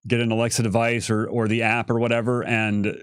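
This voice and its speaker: A man's voice: medium pitch, monotone, a little fast, smooth, no room sound. Casual man explaining, dry close sound. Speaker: game creative director taking viewer questions on a livestream. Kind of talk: casual chat.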